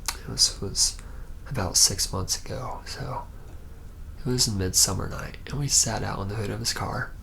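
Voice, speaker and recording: A reluctant young vampire acted out by a man murmurs through an ASMR roleplay monologue. His voice is low and crackles heavily with vocal fry, halting and awkward, often sinking near a whisper. A faint noise sits behind him. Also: pushed and bright, full character voice. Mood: hesitant, uneasy recollection. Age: young adult.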